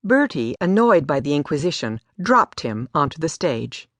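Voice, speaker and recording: A woman's voice: low-pitched, sing-song, normal speed, fairly bright tone, no room sound. A woman giving a scripted story narration as an audiobook narrator. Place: dry studio.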